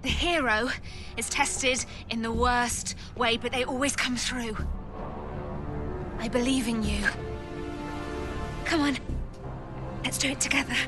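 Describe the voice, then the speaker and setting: A woman's voice: fairly high pitch, fairly even intonation, takes it slowly, pauses often, music in the background. A woman playing a determined, caring survivor in a video game, speaking with emotive urgency. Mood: coaxing.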